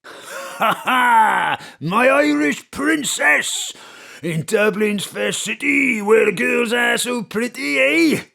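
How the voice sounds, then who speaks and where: A man's voice: very high voice, expressive, slow pace, gravelly, pushed and bright, heavily acted. A man playing a leering, drunken lecher in an audio drama, with a boisterous, lewd crooning drawl.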